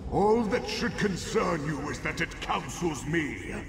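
A gravelly undead villain. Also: male voice, fairly high pitch, expressive, noisy background. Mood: menacing. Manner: slow, gravelly villain threats. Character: undead male lich spirit, menacing and arrogant. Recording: echoey game audio over loud music.

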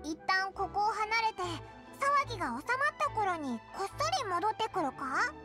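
A woman voicing a tiny, childlike fairy companion in an anime game, squeaky, blunt and casual, cheerfully bossy and caring. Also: big pitch swings, moderate pace, over loud music. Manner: blunt, casual, boyish wording.

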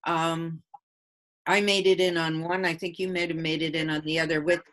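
A woman's voice: low voice, little pitch movement, moderate pace, fairly bright tone. A woman town councillor talking informally on a video call, with hesitant, loosely structured phrasing. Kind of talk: informal meeting discussion. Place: remote video call from a room.

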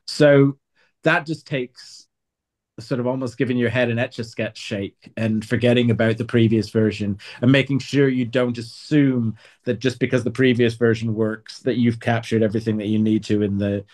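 A man's voice: mid-range voice, steady tone, moderate pace, darkish tone. A man speaking as a writer being interviewed, explaining things in a reflective, conversational way. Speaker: podcast guest, writer.

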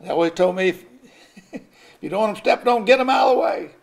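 High-voiced older male pastor preaching. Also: a little fast, gravelly. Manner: preaching with rising emphasis and occasional chuckles. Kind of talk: sermon. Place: church sanctuary at a pulpit. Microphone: pulpit microphone.

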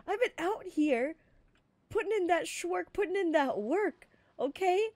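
A woman acts out a needy, whiny sailor man in a game soap opera. The voice is high and swoops around with pleading disbelief. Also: expressive, unhurried, a little mellow, no echo, exaggerated voice. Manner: pleading, whining, incredulous. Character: whiny, desperate, lovelorn sailor man named Henry.

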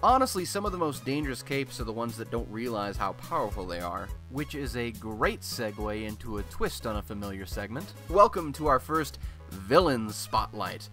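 A man's voice: medium pitch, pushed and bright. A man playing a chatty, irreverent radio host from a superhero city, talking in a wry, casual monologue. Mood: wry, upbeat. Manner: wry, conversational, mildly sweary.

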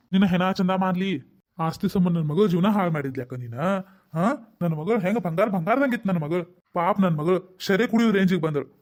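A blunt, exasperated father, played by a man speaks in a high, quick voice with a North Karnataka Kannada accent. He fires rhetorical questions and scolds his wife without letting up in a family drama skit. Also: a little mellow, natural voice.

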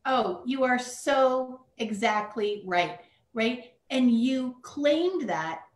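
A woman's voice: a little high, animated, very slow. A middle-aged woman, an ADHD coach as guest, speaking in an affirming, emphatic way over a video call from a home office. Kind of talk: interview answer. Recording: roomy video-call sound.

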